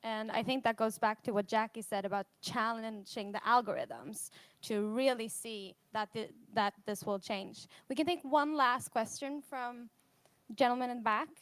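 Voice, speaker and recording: A woman moderator with a smooth, clear, fairly high voice, recorded dry and close. She is polite and brisk as she manages the panel. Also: a bit bright, dry recording.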